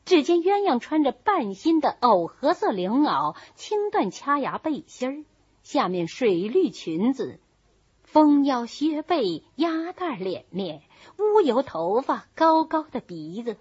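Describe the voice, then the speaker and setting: A woman's voice: high-pitched, a little mellow, husky, dry recording. A woman narrator reads a classic novel aloud expressively, voicing the dialogue as she goes. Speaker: audiobook narrator. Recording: dull, lo-fi, dry recording.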